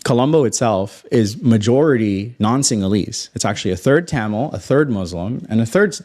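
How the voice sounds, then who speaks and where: A young man from Toronto with a North American accent, a guest on a casual podcast, telling his story in an animated, self-assured, emphatic way. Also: fairly low pitch, moderate pace. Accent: North American English. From Toronto, Canada. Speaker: guest, space company founder.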